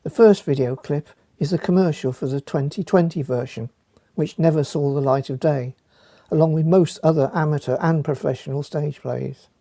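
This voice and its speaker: Mellow man narrating, dry sound. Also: on the high side, normal speed, vocal fry, dry recording. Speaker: presenter introducing a recorded play. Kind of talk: scripted narration.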